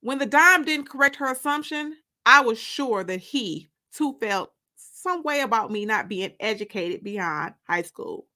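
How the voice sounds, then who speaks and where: A woman narrating a first-person story aloud in an expressive, confiding, emphatic way. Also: on the high side, measured pace.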